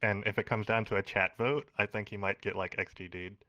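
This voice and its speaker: A man with a low voice rambles through his answers, switching between earnest points and offhand jokes. The sound is dull and lo-fi, and very dry. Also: fairly even intonation, a little fast, bright, forceful tone, no room sound. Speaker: eliminated contestant being interviewed. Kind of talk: casual online interview.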